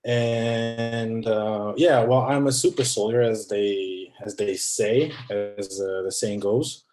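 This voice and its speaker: A man's voice: on the low side, fairly even intonation, slow pace, a little mellow, reverberant, faint music behind. A man in his thirties, a non-native English speaker from France, talking as a guest in a hesitant way with many restarts. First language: not English. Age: 30s. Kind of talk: conversational interview.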